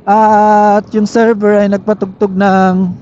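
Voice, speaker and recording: A man's voice: sits high, little pitch movement, takes it slowly, warm tone. A man with a Filipino accent casually reviewing gear as a motovlogger, explaining things and often checking in with tag questions, recorded through a helmet intercom while riding. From Philippines. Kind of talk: casual product review to camera. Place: on a moving motorcycle, inside a helmet. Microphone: helmet intercom microphone. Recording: dull helmet intercom audio with riding noise. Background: faint vehicle noise.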